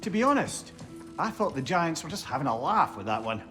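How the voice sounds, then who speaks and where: An old, wisecracking talking head with a crude tongue. His voice is bright and lively, and game music sits behind it. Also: fairly high pitch. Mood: wry. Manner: sardonic, swearing, quick-witted.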